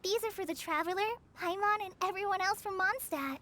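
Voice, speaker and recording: A woman's voice: extremely high pitch, fairly quick, pushed and bright, a little hiss or hum. An actress voicing an earnest, shy teenage girl in a video game, speaking sincerely and a little hesitantly. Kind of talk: scripted video game character acting.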